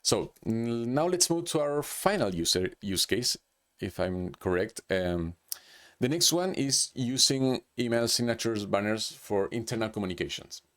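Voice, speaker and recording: A man's voice: lowish voice, lively intonation, a little slow, fairly bright tone. Hesitant man with a Chilean accent. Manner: hesitant, with many restarts and "like". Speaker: presenter. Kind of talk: unscripted business presentation.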